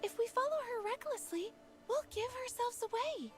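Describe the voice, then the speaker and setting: A woman's voice: very high voice, expressive, moderate pace. An actress playing a poised young female companion who speaks in an earnest, articulate way. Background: game music.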